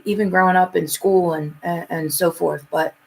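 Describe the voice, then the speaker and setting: A woman speaking casually and haltingly as a psychic reader in a live chat, often repeating her phrases. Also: on the low side, steady tone, creaky, breathy edge, poor audio.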